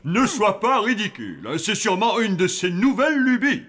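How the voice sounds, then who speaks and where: Shrill, swooping zombie dad. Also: male voice, big pitch swings, normal speed, fairly bright tone, slight background noise. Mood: dismissive. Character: fussy, mild middle-aged zombie father. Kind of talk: French cartoon dub acting. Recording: music behind the voice.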